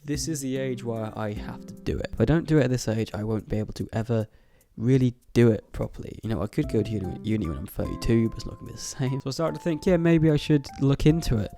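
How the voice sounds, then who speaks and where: A man's voice: medium pitch, fast talker, gravelly, few pauses, warm tone, faint music behind. Bunged-up young man chatting casually. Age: young adult. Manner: rambling, swears often, laughs between thoughts. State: congested from a cold. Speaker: YouTuber. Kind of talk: casual vlog chat to camera. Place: student flat bedroom.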